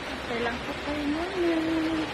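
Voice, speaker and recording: A young woman with a Filipino accent talking casually to viewers as a prank-call vlogger, on an amateur recording. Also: unhurried. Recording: very noisy amateur recording, loud background rumble.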